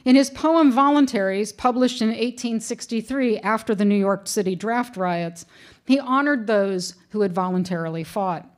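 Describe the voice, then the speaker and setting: A woman's voice: mid-range voice, moderate pace. A middle-aged woman reading a prepared lecture from notes at a lectern, with dry humorous asides, into a lectern microphone in a wood-paneled room.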